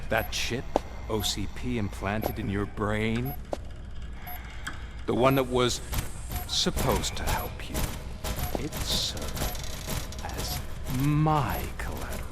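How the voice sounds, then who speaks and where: An actor playing a scheming male villain who taunts in a theatrical, then hushed, menacing way. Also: fairly low pitch, slow, pauses often, noisy background. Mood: whispering taunt. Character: gloating scheming male crime boss villain.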